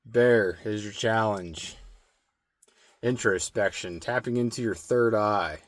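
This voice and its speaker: A man giving a slow, meditative spirit card reading with a strained, slightly hoarse throat and many filler words. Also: lowish voice. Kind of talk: intuitive oracle card reading.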